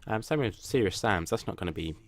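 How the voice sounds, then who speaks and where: A man hosting a gaming channel, giving a casual update to his viewers in a rambling, hesitant way with many restarts. He is self-deprecating and says his own voice is flat and plain. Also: fairly low pitch, fast talker.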